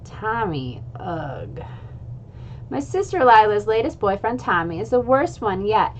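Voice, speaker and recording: A woman's voice: medium pitch, sing-song, measured pace, slight background noise. Upbeat, casual woman reading aloud. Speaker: children's book author. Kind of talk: casual chat and read-aloud.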